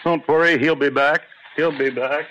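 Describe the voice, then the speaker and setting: An actor playing an irritable, domineering husband who scolds impatiently, in old-time radio drama style. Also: male voice, a little high, fairly even intonation, moderate pace, a bit bright, natural voice. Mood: irritated. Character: irritable, domineering husband, scornful rose grower. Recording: muffled, noisy old radio recording.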